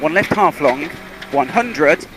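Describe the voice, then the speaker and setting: A man's voice: a little high, animated, slow. A man working as a rally co-driver, reading pace notes in clipped, urgent bursts over a car intercom. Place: inside a rally car on a forest stage. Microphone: helmet intercom headset. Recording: car intercom with loud engine and vehicle noise.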